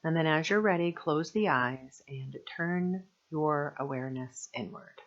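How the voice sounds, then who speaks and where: A woman guiding a meditation as a teacher, speaking gently and soothingly in measured phrases with long pauses. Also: low-pitched, dull audio. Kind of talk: guided meditation narration.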